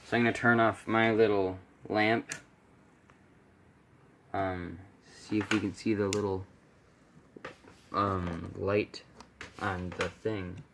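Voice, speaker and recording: A man's voice: lowish voice, little pitch movement, takes it slowly, pauses often, a bit bright. A man giving a casual, off-the-cuff demonstration of a vintage radio as an amateur vlogger. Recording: clear background noise, clicks.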